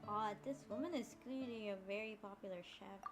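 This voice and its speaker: A woman's voice: medium pitch, brisk. A woman giving casual live commentary as she streams a video game. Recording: voice over loud game music.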